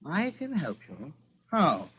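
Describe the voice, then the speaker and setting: An actor playing a haughty, evasive gentleman lawyer with pompous, fussy phrasing, in 1940s radio style. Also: fairly high pitch, huge pitch swings, takes it slowly, heavily acted. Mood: guarded. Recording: old muffled radio recording, faint hiss.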